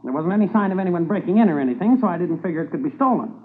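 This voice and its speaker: High-pitched, husky, folksy shopkeeper. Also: male voice, lively intonation, very fast. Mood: puzzled, helpful. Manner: hesitant, rambling, homespun way of talking. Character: folksy, obliging small-town hardware store owner. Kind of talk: 1950s radio drama acting. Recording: muffled old 1950s radio recording.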